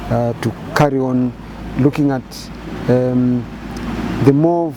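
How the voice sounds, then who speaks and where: A man in his fifties with a Zambian accent, answering interview questions in measured, halting phrases with many repeated words. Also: mid-range voice, steady tone, noisy background. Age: 50s. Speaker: painter and photographer interviewee.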